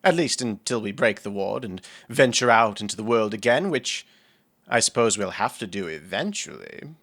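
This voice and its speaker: Audio drama role: doting gentleman occultist and healer, genteel and playful, lively intonation, crisp bright tone. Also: fairly low pitch, moderate pace. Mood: playful, pleased. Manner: genteel, playful, formal wording. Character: doting, nerdy, refined occult healer, male lover.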